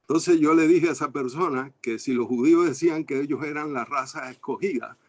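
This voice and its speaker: Older Cuban man, panel guest, witty storyteller, slightly high voice, dry close sound. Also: a little fast, no room sound. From Cuba. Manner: witty, anecdotal, fond of wordplay. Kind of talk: panel discussion.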